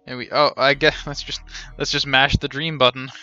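A man's voice: mid-range voice, little pitch movement, normal speed, bright, forceful tone, lo-fi sound, faint music behind. A man in his thirties giving casual, joking live commentary as he streams a game. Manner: joking, wry. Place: small indoor room, seated.

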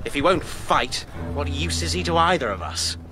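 An actor playing a sceptical rival lord in an army, urgent and challenging, in an audio drama. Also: male voice, on the high side, very animated, moderate pace. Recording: noisy outdoor ambience behind.

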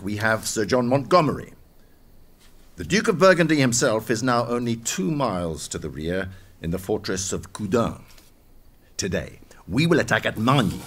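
Orderly medieval captain, medium pitch. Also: male voice, fairly bright tone, slightly rough. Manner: formal, measured briefing. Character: medieval French captain, orderly military strategist. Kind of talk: audio drama acting.

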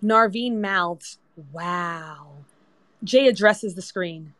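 A woman's voice: medium pitch, extremely slow, fairly bright tone, no echo. A woman reading scripted screenplay narration as the narrator of a table read. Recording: online group voice-chat app.

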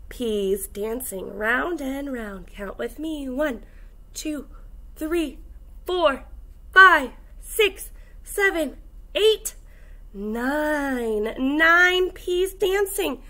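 A young woman teaching kindergarten, reading aloud to small children in an animated, encouraging way with exaggerated delivery. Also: high-pitched, drawn-out words, pauses often. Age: young adult. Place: indoors, classroom.

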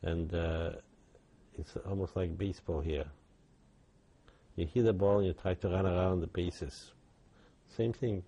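An older man, giving halting oral history testimony with many restarts. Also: sits low, steady tone, warm tone, slightly rough. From Poland. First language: Yiddish. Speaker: interviewee.

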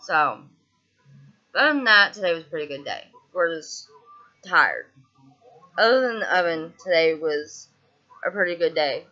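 A young woman with a Southern US twang talks straight into her laptop webcam from a small room, venting her frustrations in a loose, rambling video diary. Her voice is bright and forward, and it often stumbles over restarts and fillers. The audio is dull and lo-fi, typical of a built-in webcam mic. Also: lowish voice, big pitch swings, measured pace, pauses often. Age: 20s. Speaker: vlogger. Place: small indoor room, seated on a couch.

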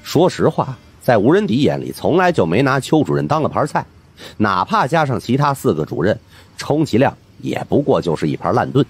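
Husky, highly animated male storyteller. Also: brisk. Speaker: audiobook narrator. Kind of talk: dramatic scripted narration of a supernatural mystery.

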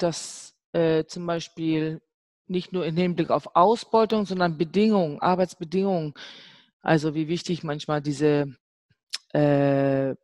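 A woman's voice: lowish voice, darkish tone, dry recording. A middle-aged woman thinking aloud in a panel discussion, searching and hesitant as she forms her thoughts. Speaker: artist and art professor on a discussion panel.

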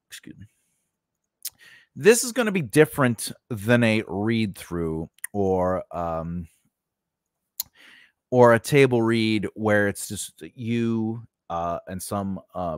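Male instructor, forties, medium pitch, dry close-mic sound, conversational explainer who often stops and rephrases. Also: no echo. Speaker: host of a scriptwriting lesson. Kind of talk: informal instructional talk to camera. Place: small indoor recording space. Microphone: close studio microphone on a stand.

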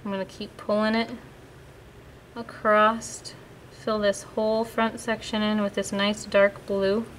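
A woman explaining a watercolor painting lesson step by step in a calm, patient way while she paints at a table. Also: medium pitch, unhurried, a little hiss or hum, low-quality recording. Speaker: art teacher. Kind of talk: painting demonstration. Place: indoors at a table, hands-only overhead camera.

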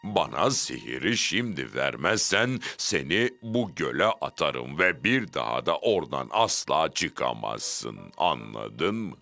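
A man voicing a greedy goblin king in a cartoon dub, gruff and threatening. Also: extremely low pitch, very animated, measured pace, hardly any pauses, bright, forceful tone, faint music behind, full character voice. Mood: menacing. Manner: gruff and threatening, bellowing orders. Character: blustering, greedy goblin king, adult male.

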